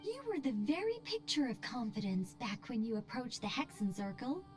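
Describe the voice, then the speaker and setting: A gentle, earnest angel in a fantasy game. Her voice is high and very expressive in pitch, with a polite, sincere way of speaking. Music is clearly audible behind her. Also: moderate pace. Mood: gentle concern.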